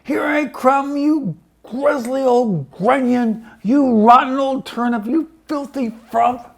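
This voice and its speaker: A man's voice: extremely high pitch, slow, vocal fry, pitched above the performer's own voice, exaggerated voice. Man doing a shrieking, furious old woman. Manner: screeching, savagely threatening.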